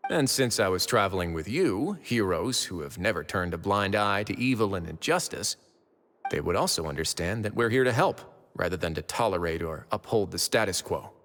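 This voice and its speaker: A man playing a composed, shrewd prison official in a video game, speaking in a measured, dryly courteous manner. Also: sits low, animated, fairly bright tone, long reverb tail, a little hiss or hum. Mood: self-assured. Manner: measured, unhurried, dry. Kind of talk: video game character acting. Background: game music.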